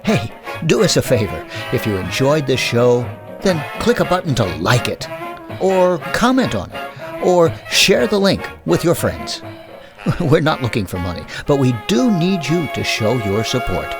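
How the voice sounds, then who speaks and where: A man's voice: medium pitch, big pitch swings, unhurried, music in the background. A man hosting, giving an informal scripted outro straight to the audience. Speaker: show host.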